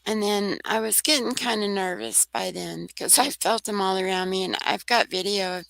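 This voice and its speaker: A soft-voiced woman, a guest on a talk radio show, telling a personal story in an earnest, rambling way. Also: mid-range voice, moderate pace, vocal fry, no echo. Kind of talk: casual storytelling.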